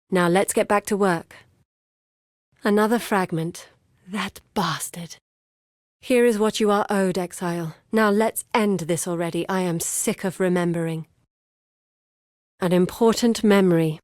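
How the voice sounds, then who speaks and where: Actress as haunted young woman researcher, video game drama, unhurried measured delivery, solemn, earnest, determined, close dry sound. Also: mid-range voice, darkish tone, no room sound, natural voice.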